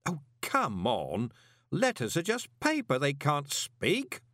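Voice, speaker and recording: A man's voice: huge pitch swings, takes it slowly, fairly bright tone, dry recording, studio quality, heavily acted. Man playing a gasping, panicked con man. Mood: frantic. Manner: gasping, strained and high-pitched. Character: panicked young male con man, frantic.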